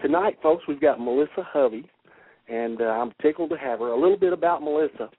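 A middle-aged man with a Kentucky accent hosting a radio show in a folksy, halting way. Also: a little high, slightly rough, no echo. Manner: folksy, halting, laughs often. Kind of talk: casual radio interview. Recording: tinny phone-line radio audio.

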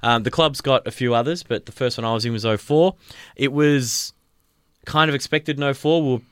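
An Australian man hosting a sports podcast in a studio, chatting casually with a relaxed, rambling delivery. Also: mid-range voice, brisk, clean tone, no room sound. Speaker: podcast host. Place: radio studio. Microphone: studio mic.

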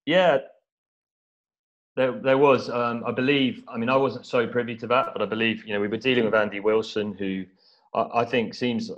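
An English man from East Anglia giving measured, modest, somewhat hesitant conversational answers as an interview guest. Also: medium pitch, steady tone. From East Anglia, England. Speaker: interview guest, documentary film-maker. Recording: dull, lo-fi audio.

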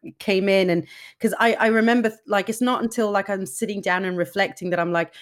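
Woman, podcast host, casual interview, animated, emphatic. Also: medium pitch, a little fast. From Denmark. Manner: animated, emphatic, laughs often, many restarts. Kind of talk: conversational interview.

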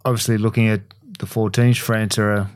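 A man in his forties with an Australian accent, a former footballer giving measured, plain-spoken opinions on a podcast. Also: lowish voice, little pitch movement, no echo. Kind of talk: casual sports punditry.

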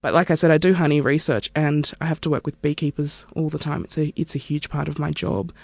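A woman's voice: low-pitched, fairly even intonation, fairly quick, vocal fry, a little mellow, dry recording. A woman, a research scientist speaking candidly and reflectively about her work in an interview. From Australia. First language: Turkish. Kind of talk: interview monologue.

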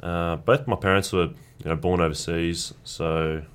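A young Australian man whose first language is Croatian, telling stories in a relaxed, rambling way as a guest in a casual chat. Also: low voice, measured pace, clean tone. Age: young adult. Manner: relaxed, rambling storytelling with restarts. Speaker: guest athlete.

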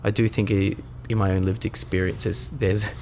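A young man with a cold, speaking in a low, gravelly voice, talks earnestly in a casual podcast chat. He rambles and often restarts his sentences. A faint hiss of noise sits behind him. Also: little pitch movement, normal speed, darkish tone. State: has a cold, voice deeper than usual. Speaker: podcast co-host.

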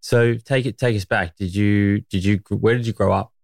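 A man's voice: fairly low pitch, steady tone, normal speed, gravelly, no room sound, clean studio sound. A man hosting a podcast, asking questions with enthusiasm and frequent stumbling restarts. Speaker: podcast host. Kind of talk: interview questions.

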